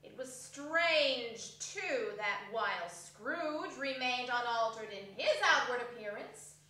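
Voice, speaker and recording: A woman's voice: a little high, expressive, takes it slowly, bright, forceful tone. A woman giving scripted, theatrical narration in a staged reading of a classic story. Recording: echoey hall, distant microphone.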